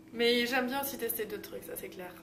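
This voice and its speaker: A woman's voice: fairly high pitch, fairly bright tone, slight background noise. Chatty young woman, distant roomy mic. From Belgium. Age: 20s. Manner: chatty, lots of fillers. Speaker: YouTuber. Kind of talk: casual vlog chat.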